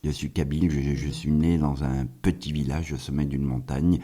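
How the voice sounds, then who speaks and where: A man's voice: a bass voice, fairly even intonation, moderate pace, dark tone, husky. A middle-aged man from France talking casually and reflectively as a singer-songwriter guest in a radio interview, often in short phrases.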